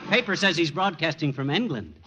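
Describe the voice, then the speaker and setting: A man's voice: on the high side, lively intonation, brisk, close to an everyday voice. A man playing a folksy, blustering know-it-all husband in 1940s radio comedy style. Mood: folksy, confident. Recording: dull, noisy 1940s radio recording.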